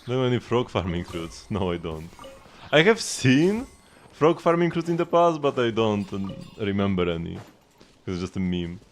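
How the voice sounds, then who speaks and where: A man's voice: on the low side, sing-song, moderate pace. A man live streaming a video game, chatting casually and informally as he rambles along and answers viewers. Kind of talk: casual gaming stream chat. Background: game music.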